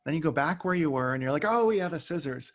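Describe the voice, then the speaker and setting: Guy expert guest on a podcast, gravelly creaky voice, explaining stuff conversationally. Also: on the high side, lively intonation, fast talker.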